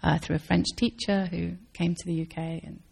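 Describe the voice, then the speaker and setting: Woman Zen teacher, low flat voice with husky fry, thoughtful and searching answers. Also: normal speed. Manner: thoughtful, searching, with restarts and soft laughs. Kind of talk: dharma talk Q&A answers.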